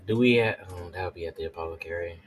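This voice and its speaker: A man's voice: sits low, fast talker, a little hiss or hum. A man chatting casually as a player in a tabletop roleplaying game.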